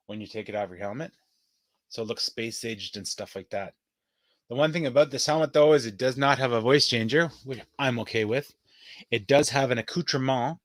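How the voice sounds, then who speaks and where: A man's voice: medium pitch, no room sound. A man hosting a casual vlog, chatting upbeat and playful as he shows off his collectibles to the camera. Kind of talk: casual show-and-tell chat to camera.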